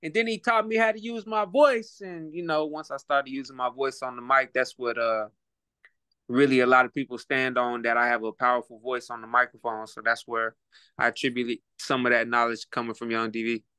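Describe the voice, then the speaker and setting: A man's voice: mid-range voice, little pitch movement, fairly quick, bright, forceful tone, clear, resonant tone, no room sound. A man with an Oklahoma accent, talking as a guest on a casual podcast in a laid-back, reflective way, repeating words while he thinks. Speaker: guest, rapper and boxer.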